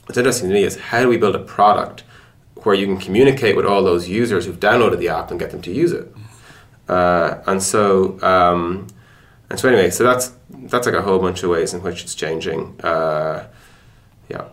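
A man's voice: low voice, normal speed, a bit bright. A man explaining things conversationally in a casual podcast interview, feeling his way toward words as he goes. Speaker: tech product executive being interviewed.

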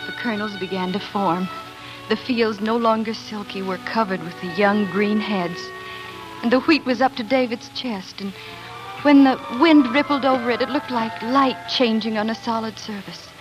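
A woman's voice: fairly high pitch, moderate pace, close to an everyday voice, low-quality recording. Woman acting young pioneer wife, wistful and earnest, 1940s radio drama style, music under the broadcast. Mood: wistful, hopeful. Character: young homesteader wife and mother narrating prairie life, wistful, earnest.

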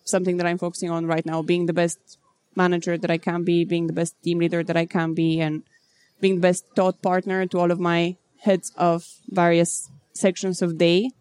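Composed low-voiced woman founder, dry sound. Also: flat delivery, darkish tone, clear, resonant tone, dry recording. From Bulgaria. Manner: measured, composed, businesslike. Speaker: startup founder. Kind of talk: interview answers.

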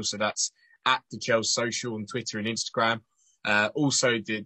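A man's voice: lowish voice, pushed and bright, dry recording. A man guesting on a podcast, easygoing and chatty. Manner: easygoing, laughs often. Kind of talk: casual podcast chat.

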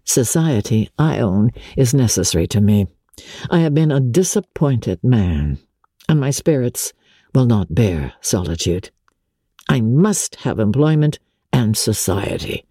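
A woman voicing a wronged, genteel young gentleman officer in a dramatic storybook reading, measured, plaintive and confiding. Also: rumbling low, very animated, husky, dry recording, studio quality, full character voice. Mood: genteel, self-pitying.

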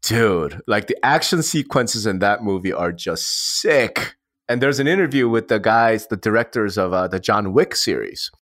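A man's voice: on the low side, animated, moderate pace, a bit bright. A man co-hosting a podcast in a casual, slangy and joking way. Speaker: podcast co-host.

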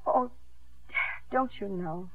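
A woman's voice: mid-range voice, slow pace. An actress playing a proud, earnest young woman, a swimming star, in a 1950s radio drama. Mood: excited. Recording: tinny, band-limited 1950s radio broadcast.

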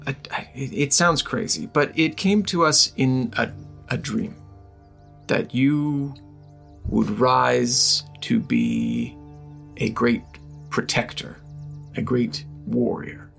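A man voicing a worried, loving dragonkin mother in a tabletop game, speaking earnestly and haltingly. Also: medium pitch, gravelly, fairly bright tone, faint music behind, exaggerated voice. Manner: earnest, hesitant.

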